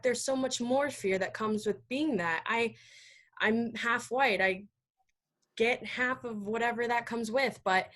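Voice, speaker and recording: An American woman speaking earnestly and emphatically as a panelist in an impassioned discussion over a video call, halting now and then. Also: mid-range voice, fairly bright tone.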